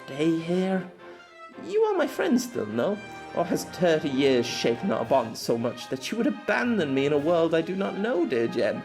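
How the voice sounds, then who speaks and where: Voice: medium pitch, huge pitch swings, moderate pace, over music, voice pushed up. A narrator voicing a spirited, imperious young warrior noblewoman with formal, old-fashioned and emphatic speech in an amateur audiobook. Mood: pleading. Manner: formal archaic phrasing, emphatic. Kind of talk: amateur audiobook character acting.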